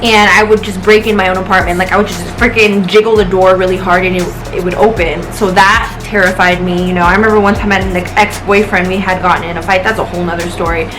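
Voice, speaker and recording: An American woman in her late twenties chats casually as a vlogger. She rambles through a personal story with slang and swearing, at an ordinary pitch, with music clearly playing behind her. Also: steady tone, fast talker, few pauses, low-quality recording.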